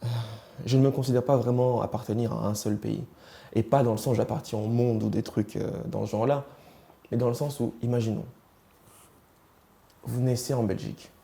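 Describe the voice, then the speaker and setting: Gravelly young man, halting speech. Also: mid-range voice, steady tone, warm tone. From Rwanda. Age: 20s. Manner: hesitant, searching for words. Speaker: interviewee. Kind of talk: personal interview, switching languages.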